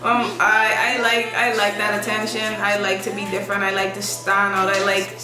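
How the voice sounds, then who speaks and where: Soft-voiced young woman, casual interview guest, recorded off-mic in a reverberant room with music playing. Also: medium pitch, moderate pace. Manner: soft-spoken, relaxed, loose rambling phrasing. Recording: echoey room from a distance, music behind.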